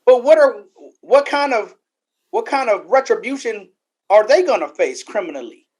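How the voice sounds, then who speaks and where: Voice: mid-range voice, expressive, normal speed, darkish tone, slightly rough. An American podcast co-host giving opinions in casual panel talk, speaking emphatically and often repeating phrases for weight.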